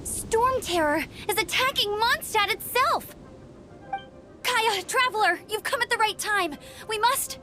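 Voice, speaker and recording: A woman's voice: very high voice, expressive, measured pace. A woman playing a perky, earnest young female knight scout in a video game, talking in an eager, upbeat manner. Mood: urgent.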